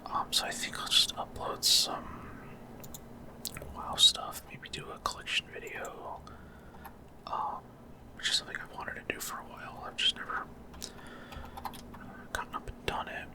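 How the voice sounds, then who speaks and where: A man whispering casual, rambling gameplay commentary in a hesitant, breathy ASMR style. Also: normal speed, pauses often. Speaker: gaming video creator.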